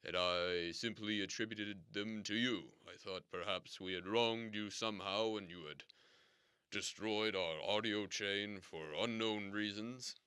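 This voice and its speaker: An actor playing a formal, theatrical radio station boss who explains things slowly and swears grand oaths. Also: male voice, lowish voice, steady tone, pushed and bright, clean tone, no room sound, studio quality. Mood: explaining. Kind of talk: comedic audio drama acting.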